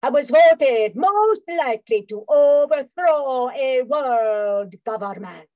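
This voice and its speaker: Slow, high, swooping Martian femme fatale. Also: female voice, lively intonation, darkish tone, no echo, dull audio. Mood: boastful, grand. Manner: theatrical, drawn-out, sultry delivery. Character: seductive, boastful female Martian spy villain. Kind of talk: old-time radio spoof acting.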